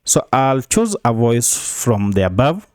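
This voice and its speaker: A man's voice: mid-range voice, big pitch swings, measured pace, a little mellow, dry recording. A man narrates a screencast tutorial in a mid-range voice. He explains each step plainly, as an instructor would, on a close, dry recording. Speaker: software tutorial presenter.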